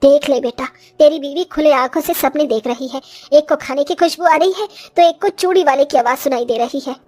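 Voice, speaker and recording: A woman voicing a short older village mother-in-law in a cartoon story, complaining and exclamatory but grudgingly soft-hearted. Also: high-pitched, brisk, lightly acted. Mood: grumbling. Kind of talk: Hindi cartoon story voice acting.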